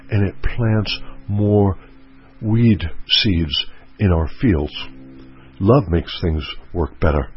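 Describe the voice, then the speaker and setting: A man giving an earnest motivational teaching talk, repeating his key points slowly. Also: low-pitched, slightly rough, dull audio. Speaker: self-help speaker.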